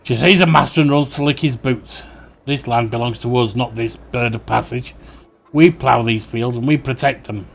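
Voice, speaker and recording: A man's voice: mid-range voice, normal speed, creaky. A man voicing a scornful, defiant rebel militia leader of a farming village.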